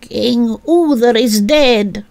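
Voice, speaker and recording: Royal servant, female narrator acting: storybook herald, high, earnest, urgent proclamation. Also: drawn-out words, gravelly, a little mellow, dry recording, full character voice. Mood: grave, urgent. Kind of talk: storybook character voice.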